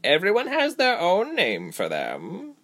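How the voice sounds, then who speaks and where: A man voicing a blunt, protective female beast warrior in a storybook, speaking slowly and deliberately. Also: high-pitched, wildly swooping pitch, fairly bright tone, pitched above the performer's own voice, exaggerated voice. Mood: blunt, commanding. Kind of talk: audiobook character voice.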